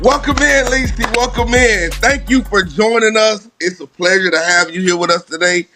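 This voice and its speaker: A podcast host man with a high, bright, ringing voice and energetic, rhythmic delivery that drifts into a half-sung chant. He pushes his words out with hype, and there is faint music behind him. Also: normal speed. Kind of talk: hyped show intro and interviewing.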